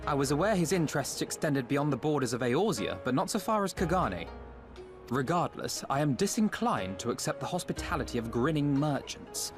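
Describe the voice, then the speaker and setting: Male actor as a formal young envoy, distrustful, expressive intonation, music bed. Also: mid-range voice, natural voice. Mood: suspicious. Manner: formal, curt and suspicious. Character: young earnest male diplomat, formal and wary. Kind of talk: video game cutscene. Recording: with background music.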